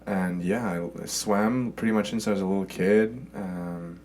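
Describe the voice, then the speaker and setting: A young man who grew up in Sweden, a college swimmer guest chatting casually in an interview, relaxed and full of "like" and "you know". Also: sits low, a little slow, a little hiss or hum. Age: young adult.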